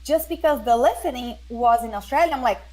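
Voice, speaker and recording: Slightly high-voiced woman giving friendly advice. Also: fairly quick, a little mellow. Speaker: English teacher, podcast guest. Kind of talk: casual chat giving learners advice.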